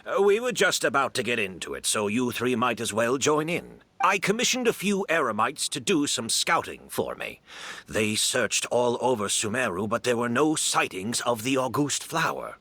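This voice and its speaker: A man's voice: medium pitch, big pitch swings, moderate pace, bright, forceful tone, full character voice. A man playing a modest, gracious older master perfumer and mentor in a video game. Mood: explaining. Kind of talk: video game character acting.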